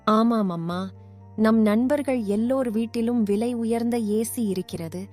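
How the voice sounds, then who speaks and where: A woman's voice: on the high side, unhurried, warm tone, faint music behind, close to an everyday voice. A woman voicing a young village girl who pleads insistently with her mother. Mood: pleading. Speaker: voice actor.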